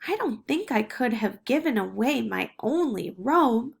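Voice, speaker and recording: Woman voicing a polite little girl, high-pitched. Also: expressive, measured pace, gravelly, breathy edge, heavily acted. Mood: friendly.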